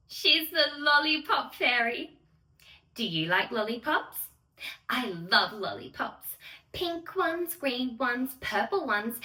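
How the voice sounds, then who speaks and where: A woman playing a sweet, excitable young fairy who gushes in a sing-song way to little children as she hosts a bedtime story read-aloud. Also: sits high, full character voice. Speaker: children's storyteller and channel host. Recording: roomy echo, distant microphone.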